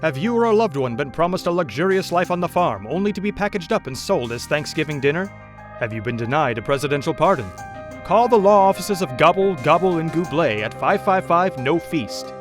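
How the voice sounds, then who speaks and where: A man reading a parody law firm commercial as an earnest pitchman. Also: expressive, brisk, faint music behind. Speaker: ad announcer.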